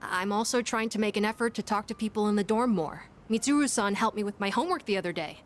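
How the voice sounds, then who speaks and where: A woman playing an earnest, gentle young schoolboy in a video game, speaking politely and thoughtfully with some hesitation. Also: mid-range voice, fast, soft background music, natural voice. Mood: earnest, warm. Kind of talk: English video game voice acting.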